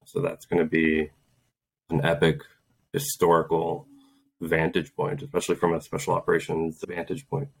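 Low-voiced man in his thirties, earnest guest storyteller, reflective, laughs now and then. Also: completely flat delivery, moderate pace, gravelly, breathy edge. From Poland. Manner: earnest, reflective, laughs while telling stories. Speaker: guest, Green Beret and author. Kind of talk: casual interview storytelling.

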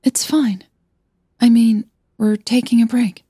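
A nervous young woman of the stage, voiced by a female narrator in an audio drama. She is husky and hesitant, working to keep her tone casual. The recording is close and dry. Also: fairly high pitch, steady tone, takes it slowly, dark tone, no echo, voice pushed up, heavily acted. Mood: flustered, hopeful. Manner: hesitant, trying to sound casual. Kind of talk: audio drama storytelling dialogue.

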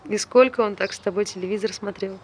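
A woman's voice: medium pitch, steady tone, quick pace, darkish tone, a little hiss or hum, close to an everyday voice. An actress playing a blunt, needling woman who questions her friend, in naturalistic stage-play dialogue. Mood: teasing, needling. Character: blunt, teasing, wary woman friend of Tolya.

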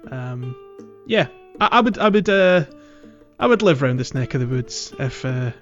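A man's voice: mid-range voice, lively intonation, moderate pace, a bit bright, highs cut off, faint music behind. A man co-hosting a podcast, chatting casually about a game in a hesitant, meandering way. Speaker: podcast host. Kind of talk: casual game playthrough chat.

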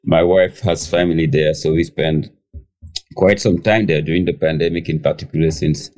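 A man, his voice extremely deep and dark. He tells his story as a guest chef, hesitant and full of fillers and restarts. He is heard over a remote call. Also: steady tone, moderate pace. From Senegal. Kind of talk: casual interview storytelling.

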